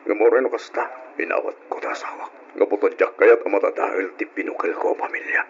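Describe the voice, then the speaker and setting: An actor playing an aggrieved, betrayed husband in an Ilocano radio drama, speaking earnestly with emotion and an Ilocano accent. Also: male voice, on the low side, fairly even intonation, fairly quick, slight background noise, lightly acted. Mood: bitter, hurt. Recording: muffled, dull old radio drama recording.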